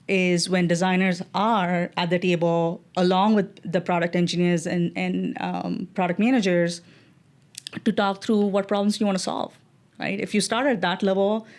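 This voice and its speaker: A middle-aged woman with an Indian English accent, a guest on an interview podcast, answering in a measured way with many fillers and restarts. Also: lowish voice, darkish tone. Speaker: guest, design executive.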